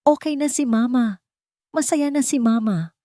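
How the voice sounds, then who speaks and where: A woman's voice: fairly high pitch, unhurried, dark tone, no echo, studio quality, pitched above the performer's own voice, close to an everyday voice. A woman voicing a grateful young mother who speaks firmly and sincerely, in a storybook reading. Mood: tender. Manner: firm, sincere public speaking.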